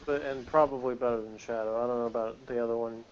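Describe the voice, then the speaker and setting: A man's voice: mid-range voice, steady tone, quick pace, a little hiss or hum. A man co-hosting a review show, chatting informally and bluntly. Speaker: podcast co-host reviewing TV episodes. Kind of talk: casual chat. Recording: dull, lo-fi recording.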